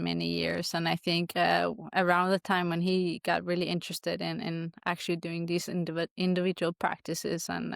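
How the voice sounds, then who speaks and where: A woman's voice: fairly low pitch, vocal fry, no room sound, studio quality. A woman from Denmark, a hockey player as a guest, answering interview questions thoughtfully with many restarts.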